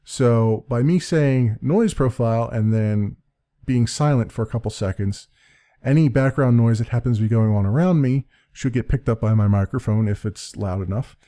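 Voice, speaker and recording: A man with a deep voice giving a casual screen-recorded software tutorial at his computer desk, rambling, correcting himself and laughing a little. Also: normal speed. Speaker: let's play creator explaining his audio setup. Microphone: desk-mounted condenser mic with pop filter.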